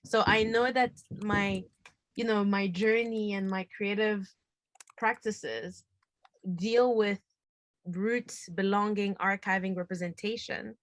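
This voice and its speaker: A woman's voice: mid-range voice, steady tone, slow pace, no echo. Young congolese woman on a video call, passionate, earnest, dry close sound. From Democratic Republic of Congo. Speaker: guest filmmaker. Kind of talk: casual discussion. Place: home living room. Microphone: video call laptop camera.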